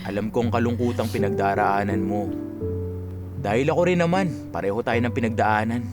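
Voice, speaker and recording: A man's voice: on the low side, moderate pace, music in the background, lightly acted. An actor with a Filipino accent playing a playful, easily amazed young man and loyal best friend in a radio drama. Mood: tender, comforting. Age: young adult.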